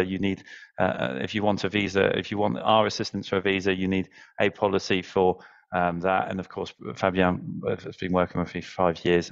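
Guy in his 30s on a webinar, low flat gravelly voice, dull call audio, lots of ums. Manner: fluent, many restarts and fillers, earnest. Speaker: relocation business founder, panel guest. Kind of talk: webinar panel talk. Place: indoors, virtual background. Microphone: headset mic on video call.

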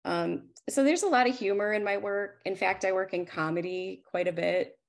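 Creaky-voiced woman giving an informal talk. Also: medium pitch, moderate pace. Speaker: unit still photographer presenting her work. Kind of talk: informal slide presentation. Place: indoors on a video call.

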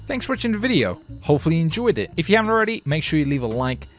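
A high-pitched man commentates his gameplay with wildly swinging intonation. He is excitable, mutters to himself, swears and bursts into shouts, with music clearly behind him. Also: racing. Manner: excitable, swearing, shouting outbursts, self-talk. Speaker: gaming video host.

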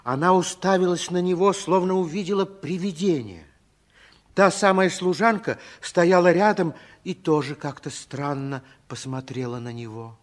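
A man narrating a children's radio drama as a storyteller, in a hushed, suspenseful way. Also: a little high, moderate pace.

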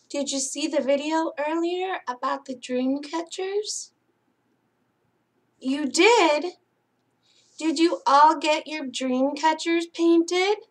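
Casting: woman, middle-aged, high-pitched voice, slow delivery, gentle children's storyteller and host. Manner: gentle, singsong, addressing young children. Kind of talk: children's picture-book read-aloud. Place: small indoor space hung with curtains and string lights.

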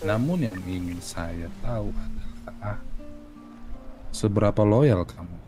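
Guy acting as a stern cult boss in a game rp, talks real slow and imperious, music behind. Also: on the low side, expressive. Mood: probing, stern. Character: stern, aloof male boss of a secret society. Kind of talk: improvised game roleplay.

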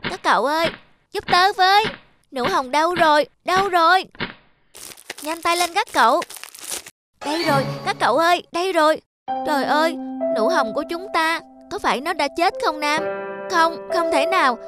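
Earnest high-pitched cartoon child. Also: female voice, moderate pace, music in the background. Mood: anxious.

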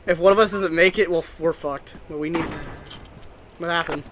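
Excitable young gamer man, slightly high. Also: a little fast, slight background noise. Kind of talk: casual co-op gaming chat.

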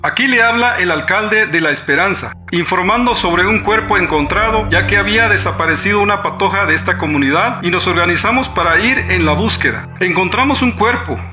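Small-town mayor, high male voice, composed, official, radio drama, music underneath. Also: animated, brisk, few pauses. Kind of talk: radio soap opera acting.

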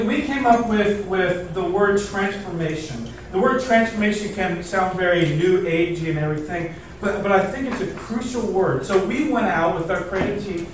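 A man's voice: high voice, distant mic. A man in his thirties preaching casually as a pastor, chatty and informal, engaging his listeners with questions. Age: 30s. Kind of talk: casual sermon to a congregation. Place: indoor church stage in front of seated audience. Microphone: far camera in the audience. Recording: very echoey hall from afar, faint noise.

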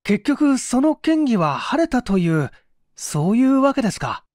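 A man voicing a guarded, melancholy gentleman who answers hesitantly and politely in a story reading. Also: very high voice, very animated, unhurried, no room sound, studio quality, pitched above the performer's own voice, full character voice. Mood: puzzled. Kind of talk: acted dialogue in story reading.

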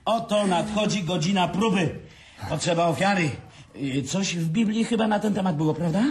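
A man's voice: high-pitched, moderate pace, lightly acted. An actor playing a boastful, self-pitying businessman who drinks and mocks everyone at a family dinner in a radio drama. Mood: tipsy. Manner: sarcastic, theatrical, drinking.

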